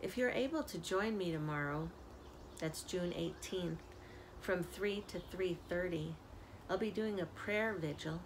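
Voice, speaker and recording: An older woman, a community minister, giving a gentle spiritual reflection on an outdoor porch, filmed by a camera close up. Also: on the low side, slight background noise, low-quality recording. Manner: slow, soft-spoken, reflective. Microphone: camera at close range. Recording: outdoor ambience audible behind.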